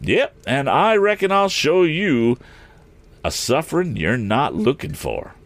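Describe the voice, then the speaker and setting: Man putting on a high, folksy country wagon driver. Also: huge pitch swings, normal speed, a bit bright, no room sound, full character voice. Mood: feisty. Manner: folksy rustic speech. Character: older good-natured country wagon driver, cheerful and feisty. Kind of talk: audiobook character acting.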